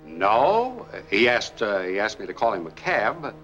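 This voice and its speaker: A helpful, slightly puzzled clerk in a 1940s noir film. His voice is bright and swings widely in pitch. Faint music sits under the old soundtrack. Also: wildly swooping pitch, unhurried, dull audio, exaggerated voice.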